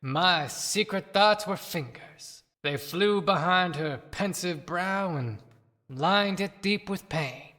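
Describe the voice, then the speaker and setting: A woman's voice: on the low side, fairly bright tone. A woman playing a bitter, haunted dead man, delivering a dramatic poetic monologue in an audio play. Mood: anguished, haunted. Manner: dramatic, declamatory, deliberate. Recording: long hall reverb.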